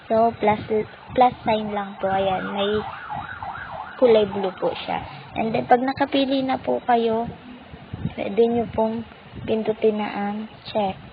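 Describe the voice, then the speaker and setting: A woman's voice: fairly high pitch, fairly even intonation, slow pace, pauses often, highs cut off, noisy background. A woman hosting an app tutorial, politely and patiently walking viewers through each step. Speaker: tutorial channel host.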